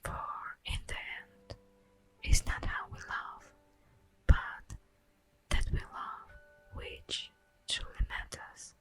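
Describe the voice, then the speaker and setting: A woman playing a solemn, tender elf guardian of the forest in a breathy, whispered ASMR roleplay, speaking formally and poetically. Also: faint music behind, close to an everyday voice. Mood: tender, earnest. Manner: slow, formal, poetic, drawn-out delivery.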